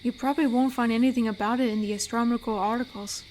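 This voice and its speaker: Woman as a gentle, high-voiced female werewolf. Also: fairly even intonation, fast, a little hiss or hum, natural voice. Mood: soft storytelling. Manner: gentle, teasing, soft-spoken. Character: amiable, flirty female werewolf, tender forest protector. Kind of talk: intimate roleplay audio drama addressed to the listener.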